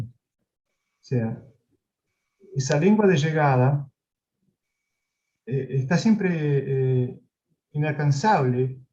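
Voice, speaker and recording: Hesitant, slow-spoken male professor. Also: a little high, mellow. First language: Spanish. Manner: hesitant, many pauses and restarts. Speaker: interviewed professor. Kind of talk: reflective academic conversation. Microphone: video call. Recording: dull lo-fi video call audio.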